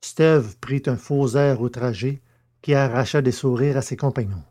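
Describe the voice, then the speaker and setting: Mid-pitched male audio fiction narrator. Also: normal speed, mellow.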